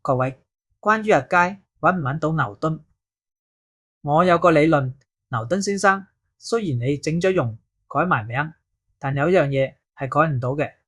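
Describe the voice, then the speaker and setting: A man's voice: on the high side, expressive, normal speed, fairly bright tone, husky. A man acting a zealous scientist expounding theories in an audio drama. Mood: assertive.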